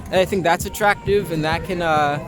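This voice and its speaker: A young man with a fairly high voice talks casually as an interview guest, informal and free with his opinions. He is recorded outdoors in a city, with music clearly playing behind him. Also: steady tone, brisk. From Long Island, New York. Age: young adult. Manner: informal, mildly profane, opinionated. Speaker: interview guest, actor and performer. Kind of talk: casual street interview chat. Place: outdoors in an urban public spot.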